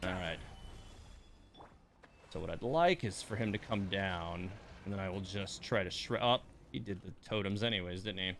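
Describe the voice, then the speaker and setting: A man's voice: deep voice, moderate pace, creaky, a bit bright, slight background noise. A man livestreaming a game, thinking aloud in casual commentary and muttering complaints and coaching to himself.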